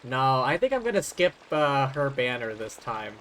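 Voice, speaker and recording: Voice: medium pitch, sing-song, normal speed, a bit bright, a little hiss or hum. A streamer chatting casually and a little hesitantly while playing a game, in an easygoing way. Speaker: streamer, host. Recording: music playing behind the voice. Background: game music.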